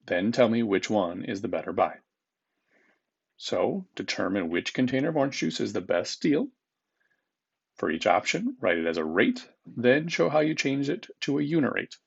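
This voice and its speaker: A man's voice: medium pitch, sing-song, normal speed, vocal fry, husky, lo-fi sound. A man speaking as a teacher, reading test questions aloud to students in an informal, instructive manner and repeating them.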